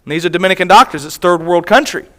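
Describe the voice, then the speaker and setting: A man preaching from a church pulpit, his voice sitting high for a man. He tells a personal story like a conversation, circling back and restarting his phrases as he goes. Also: fast, a bit bright. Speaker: missionary preacher. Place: church sanctuary.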